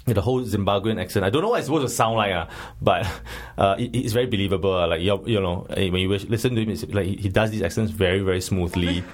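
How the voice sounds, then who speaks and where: A man's voice: deep voice, fast talker, few pauses. A man with a Singaporean English accent, hosting a casual film-review chat in a jokey, rambling, colloquial way. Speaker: podcast host.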